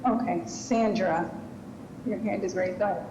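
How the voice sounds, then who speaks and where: A woman's voice: medium pitch, steady tone, moderate pace, dark tone, slight background noise. Brief: woman moderator, short procedural cues, dull echoey line. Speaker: hearing moderator. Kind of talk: calling on speakers. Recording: dull echoey call audio.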